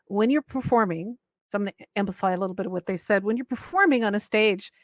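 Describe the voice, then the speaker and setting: A woman's voice: medium pitch, fast, no room sound, clean studio sound. A woman moderating a panel informally, in a wordy, rambling way. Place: virtual reality event, voice over personal microphone.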